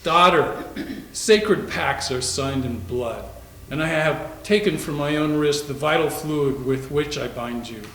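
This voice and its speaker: A man's voice: on the high side, slow pace, fairly bright tone, slight background noise. A man in a readers theater playing a young man who declaims a stern, pious father's sermon in a melodramatic way. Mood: preachy, solemn. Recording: echoey room.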